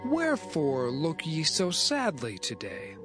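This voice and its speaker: A man's voice: on the high side, huge pitch swings, slow pace, over music, exaggerated voice. A man playing a righteous, devout young Hebrew servant in a dramatised scripture reading, earnest and formal. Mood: gentle concern.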